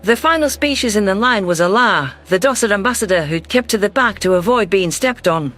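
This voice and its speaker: A man reading scripted first-person science fiction narration in a measured, literary delivery. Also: high voice, animated, sharp tone. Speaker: audiobook narrator.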